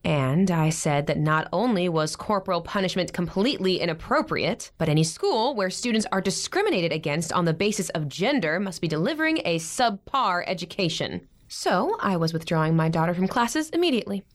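A woman's voice: lowish voice, big pitch swings, fairly quick, few pauses. An actress playing a firm, principled middle-aged mother in a modern audio drama, articulate, authoritative and dry. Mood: righteous, composed.

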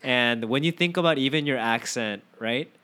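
A man hosting a casual podcast chat, teasing his guest with animated questions. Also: medium pitch, moderate pace, smooth. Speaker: podcast host.

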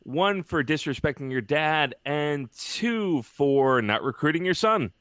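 A man co-hosting a sports podcast, telling jokes in a wry, deadpan way. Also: a little high, measured pace, smooth, no room sound. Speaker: podcast co-host. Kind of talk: casual comedic sports chat.

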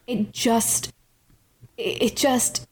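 A woman's voice: a little high, fairly even intonation, very slow, breathy edge. A woman co-hosting a podcast, giving advice in an informal, emphatic, conversational way. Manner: informal, emphatic, acts out example dialogue. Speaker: podcast co-host.

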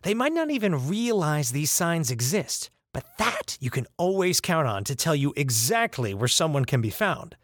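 A man's voice: fairly high pitch, sing-song, normal speed, few pauses, no echo. A man playing an earnest, weary mail carrier narrating an investigation in an audio drama. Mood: reflective, determined.

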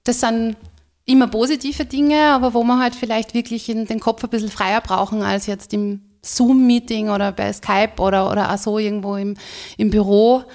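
Higher-voiced chatty Austrian countrywoman. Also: fairly even intonation, normal speed, a little mellow. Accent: Austrian German. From rural Styria, Austria. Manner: chatty, candid, full of fillers and laughs. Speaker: guest, business co-founder. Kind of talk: casual interview talk.

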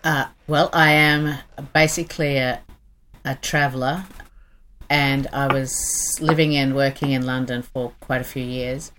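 Woman guest, deep, flat and slow, slight noise behind. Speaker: interview guest. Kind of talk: interview answers.

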